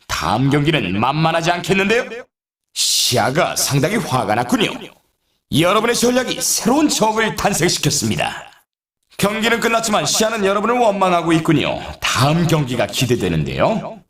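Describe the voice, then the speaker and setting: A man playing an excitable snowboard race announcer in a video game, with polite, dramatic broadcast delivery. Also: on the high side. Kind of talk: scripted sports game commentary. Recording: roomy echo, distant from mic.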